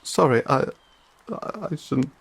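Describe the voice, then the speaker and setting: A performer voicing a shaken, emotional fantasy companion in an audio roleplay, speaking haltingly with stammers and whispers. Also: mid-range voice, animated, vocal fry, breathy edge, full character voice. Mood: flustered, apologetic. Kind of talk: dramatic audio roleplay addressed to listener.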